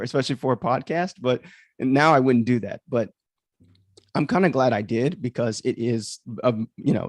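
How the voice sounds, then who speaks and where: A man's voice: mid-range voice, a little mellow, no echo, studio quality. A man hosting a casual livestream, commenting on his own creative work in a conversational, reflective way, thinking aloud as he goes. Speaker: livestream host and author.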